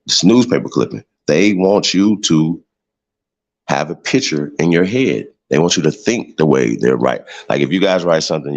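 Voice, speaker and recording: Deep gravelly man, preachy and emphatic. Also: dull audio. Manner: emphatic, preachy, repeats himself with many "right?" tags. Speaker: show host. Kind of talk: heated livestream monologue.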